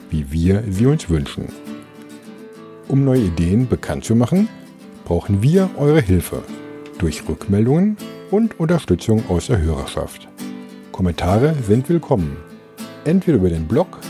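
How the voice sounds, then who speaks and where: A man hosting a podcast, asking questions at a festival and reading the show's outro in a lively, clearly articulated way. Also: fairly low pitch. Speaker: podcast host and interviewer. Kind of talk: interview questions and scripted outro. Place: outdoor music festival.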